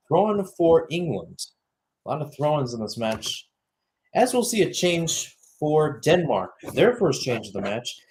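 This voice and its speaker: A young adult man delivers live soccer play-by-play in the style of a radio broadcast. His voice sits at a middling pitch, and he shifts between following the action and talking it over conversationally. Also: slight background noise, rough recording. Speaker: play-by-play commentator.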